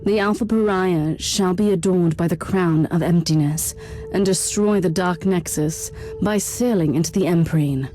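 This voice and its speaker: An actress playing a stern, wary but loyal woman Inquisitor, speaking in a measured, formal way in a game cutscene. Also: fairly low pitch, mellow, soft background music, close to an everyday voice. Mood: solemn.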